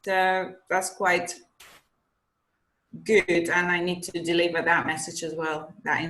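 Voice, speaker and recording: Woman participant, slow and hesitant, roomy echo. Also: lowish voice, flat delivery, fairly bright tone. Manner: hesitant, reflective. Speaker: course participant. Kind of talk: sharing on a group call. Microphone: video call. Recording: echoey room with faint background noise.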